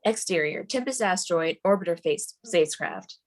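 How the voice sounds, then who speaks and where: A woman's voice: on the low side, lively intonation, normal speed. A woman reading scripted stage directions aloud as the narrator of a screenplay table read.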